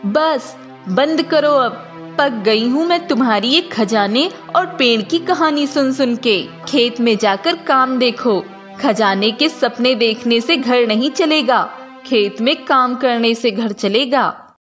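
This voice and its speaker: A woman voicing a nagging, sharp-tongued village wife who scolds in a cartoon moral story. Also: on the high side, expressive, slow, faint music behind. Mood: irritated.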